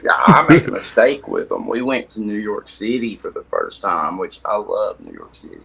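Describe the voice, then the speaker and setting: A man with a strong Tennessee accent, telling relaxed, rambling stories in a casual podcast chat. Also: on the low side, normal speed, creaky, a crowd in the background, low-quality recording. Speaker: guest.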